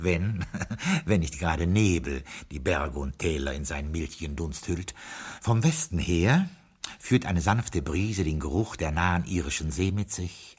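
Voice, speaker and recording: An actor playing a dignified butler who narrates courteously in a 1960s radio play. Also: male voice, low voice, husky. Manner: measured, courteous storytelling. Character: dignified middle-aged male butler narrating.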